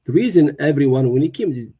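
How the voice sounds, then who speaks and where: Guest speaker: man, middle-aged, Moroccan accent, dark mellow tone, halting testimonial delivery. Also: on the high side, slightly rough. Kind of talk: personal testimonial on a livestream.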